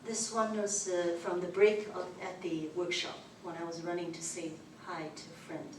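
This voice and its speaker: A woman whose first language is Chinese, reading her poems with slow, careful recitation at an open mic in a library room. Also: lowish voice, flat delivery. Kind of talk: poetry reading. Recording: distant mic, echoey room, background noise.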